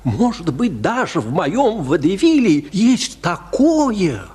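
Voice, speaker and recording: A meek, anxious amateur writer in a radio drama. His husky, high voice hesitates apologetically and moves slowly, swooping widely in pitch. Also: sing-song, warm tone, exaggerated voice. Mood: dejected. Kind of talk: theatrical radio play acting.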